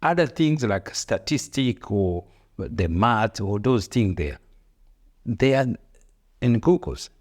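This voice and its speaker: Middle-aged Rwandan-accented man, fairly low voice, earnest motivational co-host who repeats himself. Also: animated, takes it slowly. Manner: earnest, preachy, repeats phrases, broken English. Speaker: podcast co-host, father. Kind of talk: casual motivational chat.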